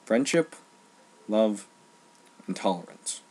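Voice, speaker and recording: A man with a fairly low voice gives an informal rant. His delivery is emphatic but halting, and he repeats phrases to drive points home. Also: lively intonation, a little mellow, breathy edge. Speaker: online ranter.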